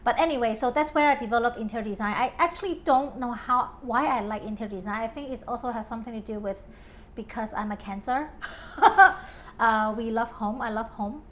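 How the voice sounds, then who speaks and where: A woman's voice: a little high, a little fast, a bit bright, slight background noise. A woman from Hong Kong talking casually in an interview about her home and design, with many fillers and frequent laughs.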